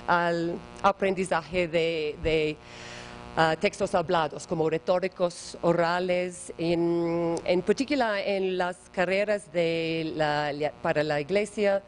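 A woman lecturing in a big auditorium in slow, halting second-language Spanish, searching for words. Her voice sits a little low, and a faint mains hum runs under the recording. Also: low-quality recording. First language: English. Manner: hesitant, halting non-native Spanish with many fillers. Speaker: guest lecturer. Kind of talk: academic conference talk. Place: large auditorium. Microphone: panel table microphone, far camera.

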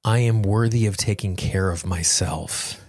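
A man with a lowish, husky voice hosts a self-help podcast. He speaks earnestly and encouragingly. The sound is dry, with little room around it. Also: unhurried, darkish tone, no room sound. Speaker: podcast host. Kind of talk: guided self-help tapping affirmations and podcast talk.

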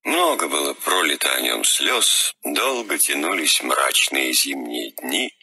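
A man narrating a children's fairy tale in a husky, expressive storytelling voice. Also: extremely low pitch, a little slow.

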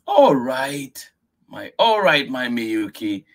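A man's voice: medium pitch, very animated, slow pace, voice pushed up, heavily acted. A man doing a patient storybook grandfather. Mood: patient coaxing. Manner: patient, repeating himself gently. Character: patient, gentle old grandfather.

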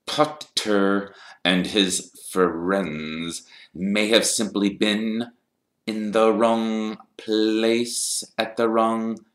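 A man narrator puts on a sly, sneering male teacher in a storybook. He speaks very slowly and deliberately, insinuating guilt, with the room echoing around him. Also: fairly low pitch, level tone, pitched above the performer's own voice. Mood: silky, suspicious. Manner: slow, drawn-out, insinuating. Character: cold, sneering male schoolteacher, slyly suspicious.

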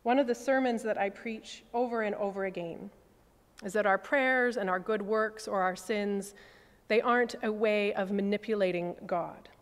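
A woman priest in her forties gives a measured, reflective sermon from a lectern stand mic. Her mid-pitched voice lets each phrase fall softly at the end. The long reverb of a large cathedral rings behind her words. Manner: measured, reflective preaching with soft falling phrase endings.

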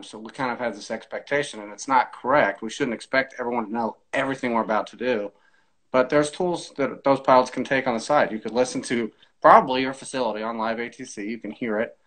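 A man in his forties speaking as an interview guest in a measured, explanatory way, giving practical advice on a video call. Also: mid-range voice, a bit bright, rough recording. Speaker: air traffic controller, podcast guest. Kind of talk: conversational interview, explaining tips. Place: small indoor room, video call.

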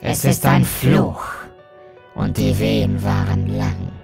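A menacing, hungry insect monster from a horror audio story. The voice croaks with an extremely gravelly rattle and drags out its words very slowly. It swings wildly in pitch over music. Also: male voice, huge pitch swings, heavily acted. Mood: spiteful, hungry. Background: dark music.

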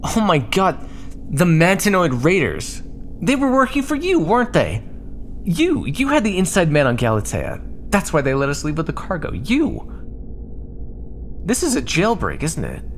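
A man playing a prickly, sarcastic starship crewman in an ASMR roleplay, talking with quick-tempered exasperation. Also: fairly high pitch, wildly swooping pitch, slight background noise.

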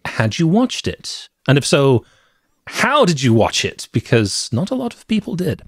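A middle-aged man co-hosting a podcast, telling stories about movies in a casual, deliberate way. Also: on the high side, wildly swooping pitch, slightly rough, no room sound, clean studio sound. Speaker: podcast co-host. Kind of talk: casual movie review chat. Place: cluttered home room with shelves. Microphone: close podcast mic with headset.

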